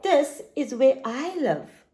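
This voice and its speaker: Welcoming badger from a woman reader; unhurried, animated lilt. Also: high voice, a little hiss or hum, full character voice. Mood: kind.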